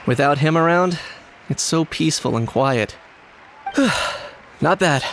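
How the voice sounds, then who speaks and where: Laid-back, higher-voiced young competitor. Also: male voice, slow pace, a bit bright, slight background noise. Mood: calm, easygoing. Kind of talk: video game voice acting.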